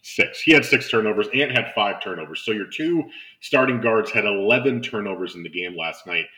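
A man's voice: fairly low pitch, animated, a little fast, pushed and bright, poor audio. A man hosting a sports show, chatting casually and enthusiastically as he analyses basketball. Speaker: sports podcast host and basketball broadcaster.

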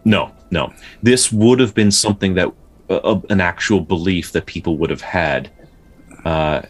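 A man's voice: sits low, husky, faint music behind. A man talking casually and explaining folklore as a podcast co-host, often stumbling and repeating words as he goes. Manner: halting, with repeated words and stutters. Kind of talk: casual explanatory discussion of folktales.

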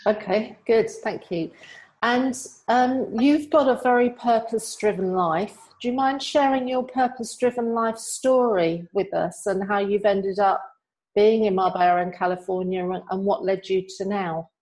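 A woman's voice: medium pitch, normal speed, darkish tone, rough recording. A woman hosting an interview, moving between a prepared introduction and conversational questions. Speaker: interview host.

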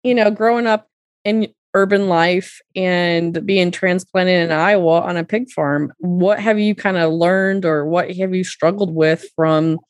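A woman's voice: lowish voice, steady tone, measured pace, no room sound, studio quality. A woman hosting a podcast, asking questions and commenting in a conversational interview style. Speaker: podcast host.

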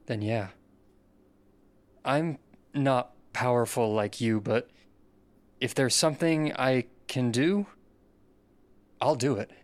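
Guy playing wary quiet man, really slow and halting, medium pitch, audio drama. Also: lightly acted. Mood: wary, resolute. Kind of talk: scripted audio drama acting.

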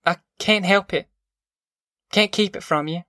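An anxious, poor country mother, as an audiobook narrator acts her out, pitched well above the narration. The rural Southern American accent is folksy and plain, with a pleading, worn-down manner. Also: moderate pace, a bit bright, no echo, studio quality, natural voice. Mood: helpless, pleading.

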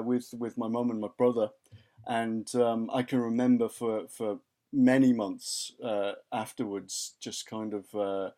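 A man's voice: medium pitch, dark tone. A man from West Wales, a university academic chatting as a guest in a casual interview, often restarting his sentences. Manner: hesitant, many restarts and fillers.

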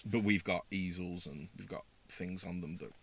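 A man's voice: low voice, fairly even intonation, moderate pace, breathy edge. A man with a Birmingham accent, an actor talking about his stage role in a casual interview, hesitant with many fillers and restarts.

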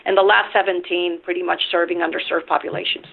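A woman from Venezuela, a CEO appearing as a podcast guest, speaks in a fairly low voice. She explains her career in a steady, measured way, and her voice comes through a thin, phone-like line. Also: creaky. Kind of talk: podcast interview. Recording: tinny phone-line sound.

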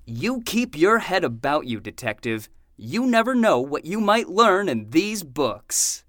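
A man's voice: on the high side, sing-song, measured pace, a bit bright, no room sound, studio quality. A man playing a mild, kindly small-town librarian in a fiction podcast, hesitant and folksy as he humors a child. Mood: helpful, hesitant.

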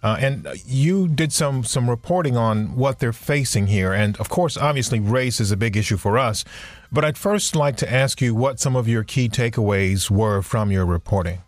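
A man hosting a radio talk show on race, introducing his guest in a measured, formal way. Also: mid-range voice, dry recording. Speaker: podcast host.

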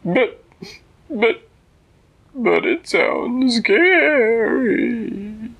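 A timid storybook bear, pitched very high above a natural voice. It stammers, whimpers and drags out words, sounding easily scared and reluctant, with a bright, strained edge. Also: male voice, very animated, drawn-out words, vocal fry, husky, muffled recording, exaggerated voice. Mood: scared, tearful.

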